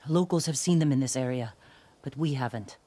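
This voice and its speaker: A woman's voice: very deep voice, fairly quick, warm tone. A woman playing a tough, protective older sister and fighter in a video game, with blunt, clipped delivery. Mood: blunt, firm. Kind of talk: dramatic video game acting.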